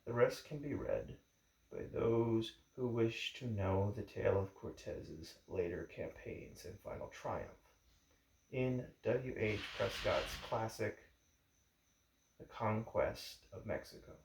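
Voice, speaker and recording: A man reading a history book aloud in a measured, formal way, with deliberate phrasing. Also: fairly low pitch, lively intonation, creaky, darkish tone. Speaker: book reader. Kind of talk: audiobook-style reading of a history book introduction. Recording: echoey room.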